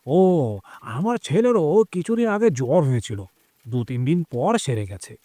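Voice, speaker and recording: A man playing an animated, fatherly gentleman. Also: on the high side, a little slow, no echo, studio quality. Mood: curious, chatty. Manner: expressive, exclaiming, familiar. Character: chatty, concerned older gentleman, fatherly and insistent. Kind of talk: audio drama acting.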